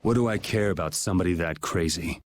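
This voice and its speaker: A man's voice: deep voice, fast, no room sound, clean studio sound, close to an everyday voice. An actor playing a blunt, impatient young swordsman hero in an anime video game, speaking in a cool, sardonic, curt manner.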